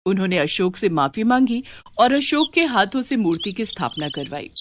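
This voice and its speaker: A woman narrating a moral story with a clear, even, steady storyteller's delivery. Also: mid-range voice, moderate pace, background noise.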